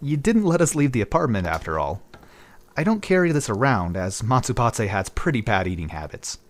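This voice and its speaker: Man acting a gentle young man, expressive and earnest. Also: mid-range voice, fairly quick, lightly acted. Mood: wistful, confiding. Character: gentle, dutiful young man, a worrywart eldest brother. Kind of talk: anime-style manga dub acting.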